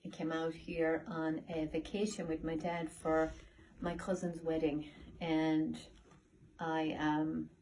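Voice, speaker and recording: A woman's voice: low voice, completely flat delivery, takes it slowly, a little mellow, slight background noise, poor audio. A middle-aged woman with an Irish accent casually answering questions in a family interview. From Ireland. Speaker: interviewee, mother.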